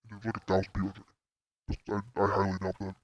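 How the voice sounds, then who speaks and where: Raspy teen boy rambling over radar. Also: low-pitched, brisk, no echo, dull audio. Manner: excitable, rambling, many restarts. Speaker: storm-tracking streamer. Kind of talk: casual live commentary over radar.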